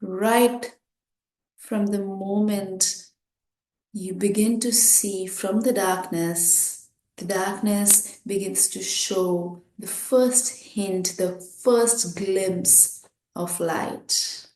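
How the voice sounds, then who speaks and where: A woman meditation teacher leading a guided meditation in short, gentle, unhurried phrases with pauses between them. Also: mid-range voice, steady tone. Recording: roomy, echoing sound.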